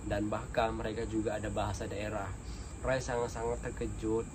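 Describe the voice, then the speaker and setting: Low, even-toned man, noisy recording. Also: moderate pace. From Indonesia. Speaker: vlogger, former migrant worker. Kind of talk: casual spoken storytelling to viewers.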